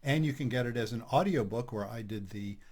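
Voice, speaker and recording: An older man co-hosts a podcast about couples, speaking in a relaxed, chatty manner. His voice sits at an ordinary middle pitch for a man. He pokes gentle fun at himself as he talks. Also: fairly quick. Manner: relaxed, chatty, self-deprecating humor. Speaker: podcast host, couples therapist. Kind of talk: casual podcast chat.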